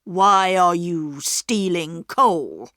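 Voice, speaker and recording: A stern middle-aged station master who scolds slowly and firmly, as a woman reader puts him on. His words are drawn out, and the recording is close and dry. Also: fairly low pitch, animated, a bit bright, no echo, exaggerated voice. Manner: slow, firm and scolding.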